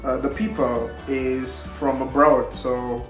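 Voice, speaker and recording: A young man whose first language is Indonesian gives a class talk, pausing often on fillers and reaching for his words. He speaks slowly at a medium pitch. The voice sits in an echoey room with faint guitar music under it. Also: flat delivery. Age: young adult. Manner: hesitant, many fillers, halting non-native grammar. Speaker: university student presenting. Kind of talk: class presentation.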